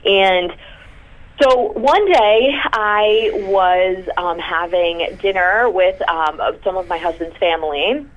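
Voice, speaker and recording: A woman's voice: mid-range voice, takes it slowly, highs cut off. A woman from the United States, a guest in an interview, talking in an enthusiastic, chatty way with many fillers. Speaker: guest, content creator. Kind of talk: conversational interview.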